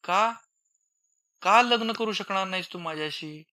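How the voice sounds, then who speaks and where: Male narrator voicing a hysterical young woman, bright tone, slow taunting delivery. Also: high-pitched, voice pushed up. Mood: pleading. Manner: sobbing, hysterical laughing and taunting. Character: distraught young woman, pleading then mocking.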